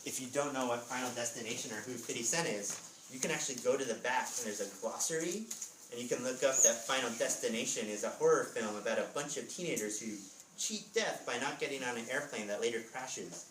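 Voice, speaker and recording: A man's voice: medium pitch, fairly quick, fairly bright tone. A man reading a story aloud as an author at a readers theatre, with casual asides. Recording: echoey room, distant mic, background noise.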